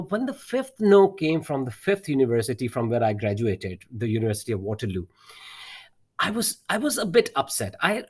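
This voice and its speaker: A man's voice: mid-range voice, a little mellow. Earnest man, native Urdu speaker. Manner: earnest, encouraging, repeats words while searching. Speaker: math teacher, guest. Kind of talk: interview answers, telling a personal story.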